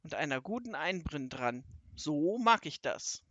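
A storybook robber, gruff and overbearing, performed by a man. He barks orders and snarls threats with greedy impatience. The voice rides higher than plain narration, in a very dry recording. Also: very animated, unhurried, a bit bright, no room sound, lo-fi sound, heavily acted. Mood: threatening, commanding. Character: gruff, bullying, greedy adult male robber.

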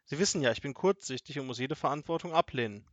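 A man's voice: medium pitch, quick pace, no echo, muffled recording, lightly acted. A worried elderly grandmother from a storybook, voiced by a male reader. She is fussy and full of cautions, fretting as she talks. The sound is dry, with no room around it. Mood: cautious. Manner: cautioning, fretful. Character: short-sighted, fussy, worried old grandmother.

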